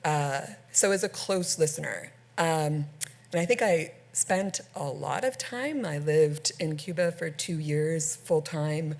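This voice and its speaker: A woman from Canada speaking as a scholar on a panel, measured and halting with many fillers. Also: low-pitched, lively intonation, darkish tone. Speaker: scholar on a book festival panel. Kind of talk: panel discussion.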